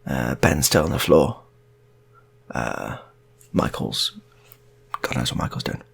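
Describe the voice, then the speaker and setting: A man's voice: very deep voice, lively intonation, slow, gravelly, slightly rough. A man telling an improvised story in a casual, rambling way, often repeating his phrases.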